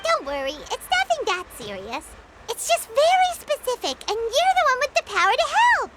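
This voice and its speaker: A tiny, excitable female fairy companion, with a very high, squeaky voice that leaps around in lively swoops. She is chatty and eager, pleading in a video game scene. A loud hiss of noise sits under the recording. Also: moderate pace, full character voice. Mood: pleading, upbeat. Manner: talks about herself in third person, eager and pleading.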